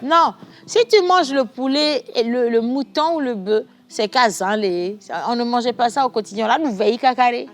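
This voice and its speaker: A woman's voice: a little high, moderate pace, hardly any pauses. A woman hosting a studio talk show in Togolese-accented French, chatting animatedly and reading viewer messages aloud. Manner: animated, laughing, shifts from chatty to soft.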